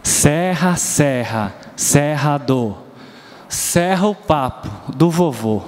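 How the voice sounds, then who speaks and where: Brazilian male preacher, mellow tone, storytelling sermon, reverberant church hall. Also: fairly high pitch, animated, drawn-out words, a little hiss or hum. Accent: Brazilian Portuguese. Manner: storytelling, asks the congregation questions, sings bits of nursery rhymes.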